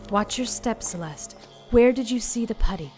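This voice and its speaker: A woman playing an orphanage caretaker in an audio drama, motherly and hushing but anxious and urgent. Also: fairly high pitch, expressive, moderate pace, soft background music, lightly acted. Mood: worried.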